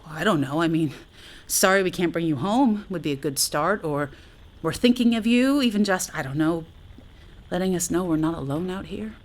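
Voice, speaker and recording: An actress playing a wry, talkative astronaut facing doom in an audio drama, sardonic and conversational in manner. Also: female voice, lowish voice, moderate pace, close to an everyday voice. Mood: wistful, hurt. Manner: sardonic, conversational, hesitant asides. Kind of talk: naturalistic audio drama acting.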